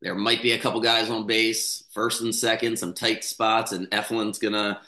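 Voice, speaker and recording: A man in his thirties, a little hoarse, talks through a game preview in a loose, rambling way, full of restarts and fillers. His voice sits a bit low but sounds bright and forceful. He speaks into a stand mic in a small room that gives a clear echo. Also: level tone, moderate pace. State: slightly hoarse from yelling. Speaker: sports betting podcast host. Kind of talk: casual sports commentary. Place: small room with a bookshelf, indoors. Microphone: studio mic on a stand close in front.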